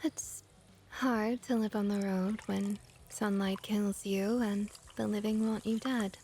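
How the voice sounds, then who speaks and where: A woman playing a friendly, comforting vampire innkeeper in a soft-spoken ASMR roleplay, gentle, reassuring and often whispery. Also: medium pitch, fairly even intonation, takes it slowly, slight background noise. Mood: friendly, curious.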